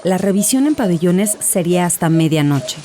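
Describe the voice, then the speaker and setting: A woman's voice: fairly low pitch, expressive, normal speed, dark tone. A woman giving a dramatic scripted narration as an audiobook narrator. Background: music.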